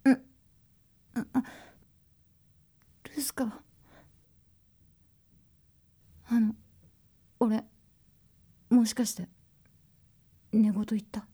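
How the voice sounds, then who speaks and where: An actor playing a flustered, lovesick young man in an audio drama, halting and breathy as he pleads tearfully. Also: high-pitched, fairly even intonation, mellow, full character voice. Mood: sheepish, apologetic. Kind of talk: Japanese audio drama acting. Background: music.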